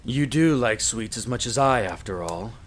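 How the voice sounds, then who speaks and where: A man playing a courteous gentleman of a vampire castle, with polite, formal, old-fashioned phrasing, in a scripted roleplay drama. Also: medium pitch, animated, normal speed, lightly acted. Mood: pleased, gracious. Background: ambience, crackling fire.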